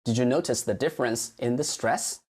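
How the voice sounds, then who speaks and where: A man with a Chinese accent, a native Chinese teacher explaining pronunciation in a lesson, carefully modeling words slowly and stretching syllables. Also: mid-range voice, darkish tone, husky. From mainland China.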